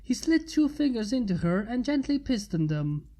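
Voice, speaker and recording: A woman's voice: a little high, sing-song, normal speed, mellow. A woman reading fan fiction aloud as a narrator.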